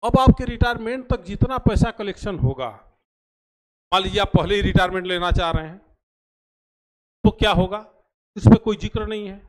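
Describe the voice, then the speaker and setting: A man's voice: high voice, normal speed, long pauses, low-quality recording. A man speaks as a teacher. He explains things in an informal lecture style, didactic and emphatic, going back over key phrases so they stick. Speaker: teacher explaining pension schemes.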